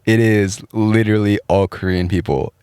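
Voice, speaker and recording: Teasing young man with a low voice. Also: fairly even intonation, normal speed, a little mellow, smooth, no room sound. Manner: teasing, prodding questions. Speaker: show host. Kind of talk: casual podcast chat.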